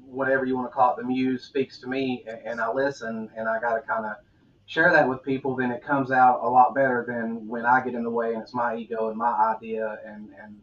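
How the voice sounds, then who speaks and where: A man hosting a podcast, asking questions in a halting way with frequent restarts and fillers. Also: medium pitch, little pitch movement, distant mic, dull audio. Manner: halting, restarts often, many fillers, affirming with "right". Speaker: podcast host. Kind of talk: conversational interview.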